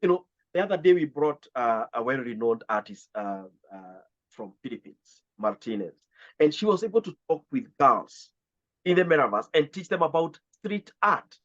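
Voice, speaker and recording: A man's voice: on the high side, very animated, normal speed, a bit bright. A man, the head of an education organisation, answering interview questions in an earnest way, stacking ideas into lists. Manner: earnest, repetitive, builds lists. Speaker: CEO of a VR education organisation, guest. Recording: slightly dull lo-fi sound.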